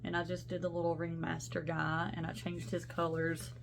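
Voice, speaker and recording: Woman, young adult, congested sinus voice, low pitch, chatty and rambling, home vlog, faint hum. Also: level tone, normal speed, creaky. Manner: chatty, rambling, self-interrupting. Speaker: cross-stitch vlogger. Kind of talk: casual show-and-tell vlog.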